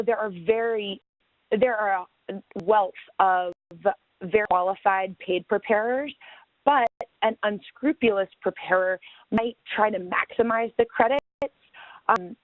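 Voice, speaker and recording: Halting woman on a phone line. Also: mid-range voice, no room sound. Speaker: webinar panelist. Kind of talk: informal explanatory talk. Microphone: telephone or conference call line. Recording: tinny narrowband phone line.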